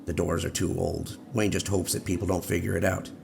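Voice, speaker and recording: A man acting a low, gravelly old prisoner. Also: flat delivery, fairly quick. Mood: measured, urging. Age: older. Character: calm, cryptic old male prisoner, repentant. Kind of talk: audio drama acting. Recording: noisy ambience behind the voice.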